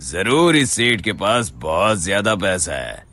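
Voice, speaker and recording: A man's voice: deep voice, sing-song, unhurried, bright, forceful tone, exaggerated voice. A man playing a sly, greedy shop servant who stammers when flustered, in a Hindi moral-story cartoon. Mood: scheming, gloating.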